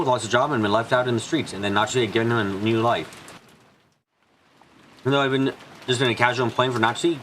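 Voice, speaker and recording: A man reads a visual novel story aloud at a quick clip. His pitch is medium, and there is faint noise behind the voice. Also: a bit bright, low-quality recording. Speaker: fan dub reader and narrator. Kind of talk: read-aloud game narration.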